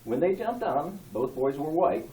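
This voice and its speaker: A man reading a rhyming poem aloud at a party like an expressive amateur storyteller. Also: fairly high pitch, a little slow. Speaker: family member reading a tribute poem. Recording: echoey room, distant mic, background noise.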